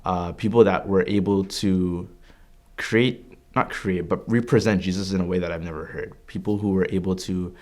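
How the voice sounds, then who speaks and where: A man's voice: low-pitched, fairly even intonation, moderate pace, a little mellow. A man in his early twenties from Canada, a religious studies student giving an earnest, reflective personal testimony with frequent fillers and restarts. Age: 20s.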